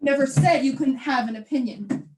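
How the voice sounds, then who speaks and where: A woman's voice: sits high, moderate pace, a little mellow, natural voice. A young woman acting in a stage play as a defiant, wounded wife who longs to sing. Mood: resolute, upset. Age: young adult. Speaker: student actor.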